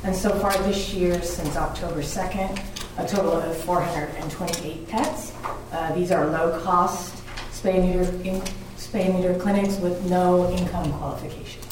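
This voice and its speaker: A woman with a loud voice, introducing herself earnestly as a new commissioner at a public meeting. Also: on the low side, steady tone, normal speed. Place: council chamber. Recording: echoey room, far from the mic, noisy.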